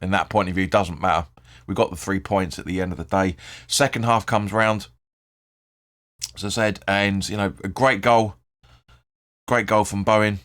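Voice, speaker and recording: A man with a hoarse, croaky voice hosting his own fan channel, rambling through post-match thoughts, correcting himself and swearing freely. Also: on the low side, fairly even intonation, normal speed, long pauses, fairly bright tone. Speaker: football fan channel host. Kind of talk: casual post-match video commentary.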